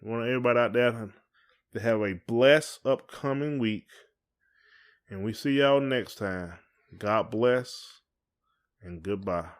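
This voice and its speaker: A man's voice: medium pitch, measured pace, long pauses. A man with a Southern US accent chatting casually as a podcast co-host, in a folksy, joking way. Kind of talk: casual chat.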